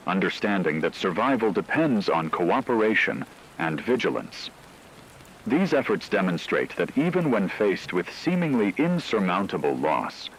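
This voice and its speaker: A grave radio newscaster acted by a man with a very low voice. He narrates a fictional disaster broadcast in a solemn, measured way. Faint rain-like noise sits behind him. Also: low-quality recording. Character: grave male radio newscaster reporting an apocalypse's aftermath.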